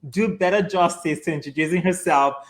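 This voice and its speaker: A man's voice: fairly high pitch, a little fast. Enthusiastic male doctor hosting a live show. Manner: warm-spoken, enthusiastic, affirming interviewer. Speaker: physician host of a weekly live show. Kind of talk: casual live interview.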